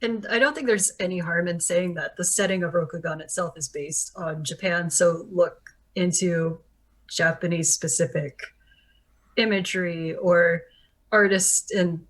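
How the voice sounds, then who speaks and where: A woman's voice: fairly low pitch, steady tone, a little slow. A woman guest on a podcast panel, making thoughtful suggestions. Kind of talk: casual panel discussion.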